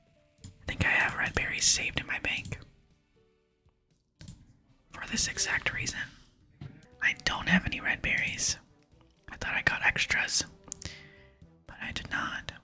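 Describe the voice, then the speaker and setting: An ASMR gaming host whispering casual commentary, softly murmuring thoughts aloud while playing. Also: unhurried, long pauses, soft background music. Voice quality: soft breathy whisper. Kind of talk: ASMR gameplay commentary, reading dialogue aloud.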